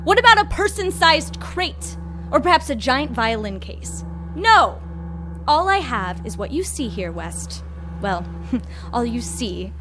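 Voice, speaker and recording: A woman playing a haughty, sharp-tongued scientist in an audio drama, emphatic and full of sarcasm. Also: sits high, very animated, slow, full character voice. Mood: outraged, sarcastic. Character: haughty, furious female scientist, sharp-tongued and self-righteous.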